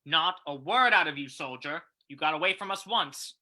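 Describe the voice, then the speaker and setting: A man playing a blustering, gruff police commissioner in an old-time radio play. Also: on the high side, expressive, normal speed, bright, forceful tone. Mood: triumphant, commanding.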